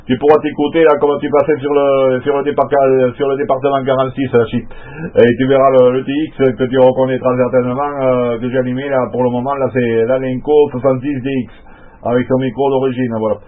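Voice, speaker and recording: A man with a mid-pitched voice talks casually on amateur radio. He rambles in short bursts, tacking little tags onto his phrases. The sound is thin and squeezed, like a radio channel. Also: flat delivery, brisk, phone-quality sound. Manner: rambling, repeats filler tags. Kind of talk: casual radio contact chat.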